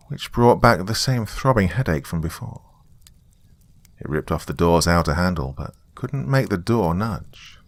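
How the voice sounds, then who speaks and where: Deep, gravelly male horror narrator. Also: dry recording. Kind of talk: scripted first-person horror narration.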